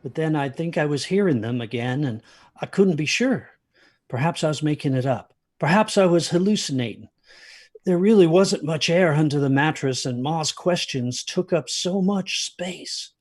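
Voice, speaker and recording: A man's voice: a little high, expressive, normal speed. A man acting an anxious, haunted narrator of a dramatic first-person ghost story, hesitant and brooding in his delivery. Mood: uneasy, brooding. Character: anxious haunted man recalling a stormy night.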